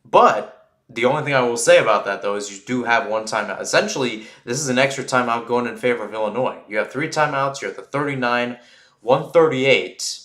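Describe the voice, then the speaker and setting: A man's voice: medium pitch, brisk. A man with a mid-range voice commentates live on a football game. He talks through situations in a loose, explanatory way, in the manner of radio play-by-play. The sound is noticeably echoey and roomy. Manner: rambling and explanatory between plays, excited on big plays.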